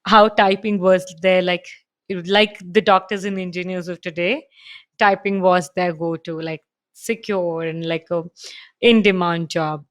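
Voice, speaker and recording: A woman's voice: fairly low pitch, steady tone, a little slow. A woman hosting a podcast interview, chatty, thinking aloud with many fillers. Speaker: podcast host. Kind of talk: conversational interview.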